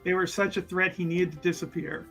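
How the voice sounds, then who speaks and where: Bright-voiced, playful tabletop player. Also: medium pitch, flat delivery, creaky, faint music behind. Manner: playful, quick to joke. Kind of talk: casual banter during a roleplaying game.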